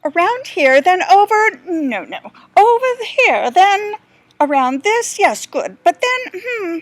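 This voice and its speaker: Befuddled male jungle guide for a storybook, played by a woman: high voice, hesitant, absent-minded murmuring. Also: very animated, slow, no room sound, exaggerated voice. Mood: dithering. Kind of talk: storybook read-aloud.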